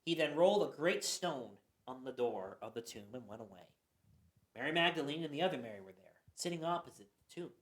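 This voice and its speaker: A man's voice: mid-range voice, expressive, fairly quick, fairly bright tone. Man reading scripture in an echoey room. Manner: earnest reading with some stumbles. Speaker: narrator. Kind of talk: scripture reading in a church radio play.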